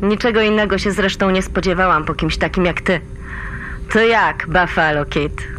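A woman's voice: fairly low pitch, moderate pace, fairly bright tone, slight background noise, natural voice, poor audio. An actress playing a chatty, teasing female agent handler in a sci-fi audio drama, with playful banter. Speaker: mission handler.

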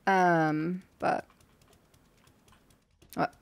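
A woman's voice: fairly low pitch, little pitch movement, drawn-out words, creaky, clean tone, no room sound. A young woman streaming a game from home, chatting to herself as she builds, her voice a little worn. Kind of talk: casual gameplay commentary.

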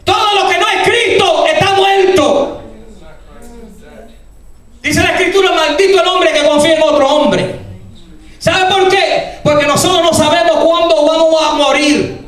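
An older man preaching a fervent sermon as pastor, often shouting and filling his speech with hallelujahs. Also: extremely high pitch, fairly even intonation, unhurried, long pauses. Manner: gestures broadly while preaching at a lectern. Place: church sanctuary, indoors, medium-sized. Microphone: handheld mic, filmed by a far camera. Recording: very echoey church hall, distant camera.

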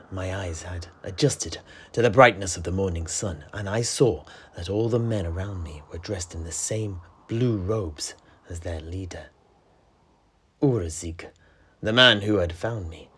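A man playing a worldly tavern keeper, recounting adventures in a husky, breathy voice with measured dramatic pauses and conversational asides. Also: deep voice, exaggerated voice. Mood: suspenseful. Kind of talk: in-character fantasy storytelling.

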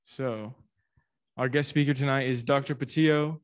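A man's voice: medium pitch, steady tone, moderate pace. Polite young man hosting a meeting. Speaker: student club officer introducing the speaker. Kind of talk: event hosting.

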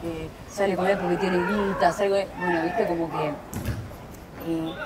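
A young Argentine woman talks casually into a lapel mic on an outdoor terrace. Her voice sits a little low, and she rambles with slang and restarts. Loud crowd noise fills the background. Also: steady tone, normal speed. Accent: Argentine Spanish. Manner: chatty, many fillers and restarts, slangy. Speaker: guest. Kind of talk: casual chat. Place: outdoor terrace, seated at a table.

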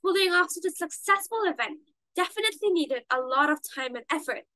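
A girl in her early teens from Singapore speaks with a very high, bright, crisp voice. The sound is dry and close, read over a webcam with earphones. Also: expressive, fairly quick, no echo. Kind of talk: scripted news presenting.

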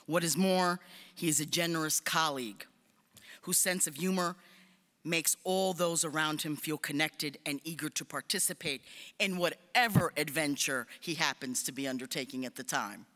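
A man's voice: on the high side, moderate pace, sharp tone. A man giving a formal introduction of a commencement speaker, with light joking asides. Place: large hall stage at podium. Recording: long hall reverb.

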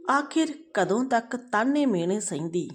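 Woman storyteller, medium pitch. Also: expressive, normal speed. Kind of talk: narrating a moral family story.

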